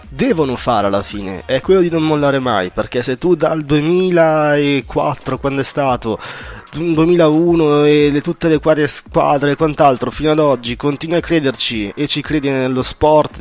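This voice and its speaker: Man, radio host, mid-range voice, casual chatty interviewing, faint music behind. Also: medium pitch.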